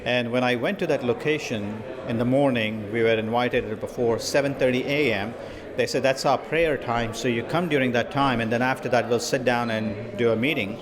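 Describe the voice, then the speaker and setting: A man's voice: medium pitch, normal speed. Earnest middle-aged man amid crowd. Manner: earnest, storytelling. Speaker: film producer interviewee. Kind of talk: interview. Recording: crowd noise behind. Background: crowd chatter.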